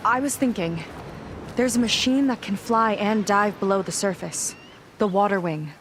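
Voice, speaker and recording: A woman's voice: medium pitch, moderate pace, slight background noise, close to an everyday voice. A woman playing a plucky young sailor companion in a video game, casual, thoughtful and matter-of-fact. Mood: thoughtful, proposing. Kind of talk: video game cutscene acting.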